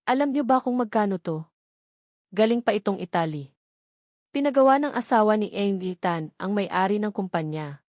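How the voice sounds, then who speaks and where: A woman's voice: mid-range voice, lively intonation, moderate pace, darkish tone, dry recording, studio quality, natural voice. A woman voicing a stern, authoritative female supervisor in her fifties, weary and disappointed, in an audio drama. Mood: grave.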